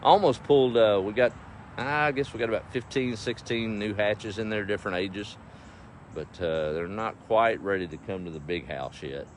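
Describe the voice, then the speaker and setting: A man's voice: lowish voice, moderate pace. A middle-aged man with an East Texas accent chatting casually on a farm livestream, folksy and easygoing, talking to his animals and viewers. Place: outdoors on a farm. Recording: faint outdoor background noise.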